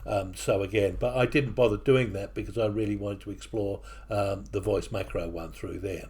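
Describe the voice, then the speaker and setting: A man with a mixed English and New Zealand accent, walking through software on his computer in a casual, hesitant way with many fillers. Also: lowish voice, fairly even intonation, normal speed, husky. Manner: hesitant, with frequent fillers and restarts. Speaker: software tutorial presenter. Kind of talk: casual screen-recording walkthrough. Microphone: desktop microphone at a computer.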